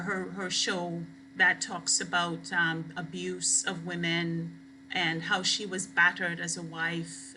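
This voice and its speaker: A woman from Trinidad and Tobago, giving an academic lecture as a guest speaker in a deliberate, emphatic way. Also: lowish voice, pushed and bright, slight background noise, rough recording.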